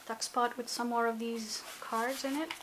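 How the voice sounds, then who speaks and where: A woman's voice: fairly high pitch, little pitch movement, normal speed, background noise. A woman casually narrating a craft journal flip-through, chatty and gushing, often talking to herself. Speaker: craft video maker. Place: indoors at a table.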